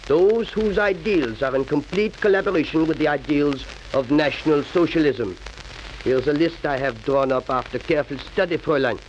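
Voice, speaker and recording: A male actor plays a cold Nazi official overseeing a school in a 1940s radio drama. He speaks formally in a fairly high voice, clipped and commanding. The old recording is muffled and hissy. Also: darkish tone, natural voice.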